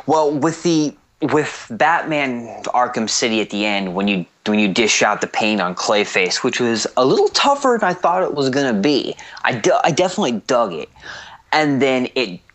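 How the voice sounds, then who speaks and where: A man's voice: medium pitch, fairly bright tone. A man chatting casually as a radio show commentator, slangy and given to jokey exaggeration. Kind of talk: casual chat.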